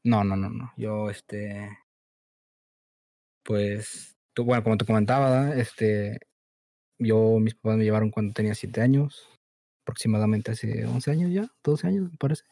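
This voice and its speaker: A man in his early twenties with a Mexican Spanish accent, born in the United States and raised in Mexico, chatting as a guest in a relaxed, hesitant, slangy way with lots of fillers. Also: on the low side, a little slow, creaky, no room sound. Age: 20s. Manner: laid-back, hesitant, slangy, many fillers. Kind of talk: casual chat.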